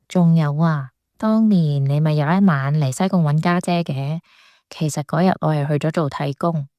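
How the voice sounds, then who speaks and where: A woman with a Hong Kong Cantonese accent acting a gentle, shy younger sister confiding in a radio drama. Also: sits low, moderate pace, no room sound, natural voice. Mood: secretive, confiding. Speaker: voice actor.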